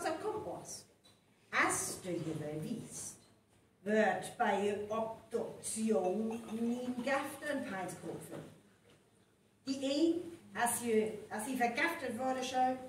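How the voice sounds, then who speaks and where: A woman acting in a North Frisian live radio play as a detective methodically explaining a murder case. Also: on the high side. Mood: explaining, emphatic. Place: hall with a live audience. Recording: echoey live hall recording, distant, faint noise.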